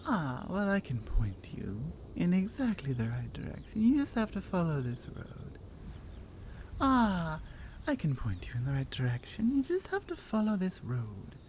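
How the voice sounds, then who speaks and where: A woman's voice: on the low side, dark tone, slight background noise. A woman acting a bold, teasing Nordic warrior in an intimate ASMR roleplay, with a Nordic accent and a playful, confident manner. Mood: helpful.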